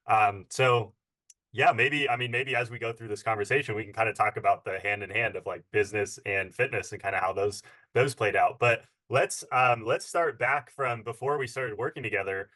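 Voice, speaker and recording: A man's voice: fairly low pitch, normal speed, sharp tone. A man hosting a casual interview as a fitness coach, upbeat and encouraging as he asks his questions.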